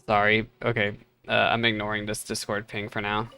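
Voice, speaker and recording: A man's voice: on the low side, completely flat delivery, normal speed, sharp tone, smooth, soft background music. A man giving casual, playfully bemused live commentary as a streamer reacting to a game, in colloquial speech.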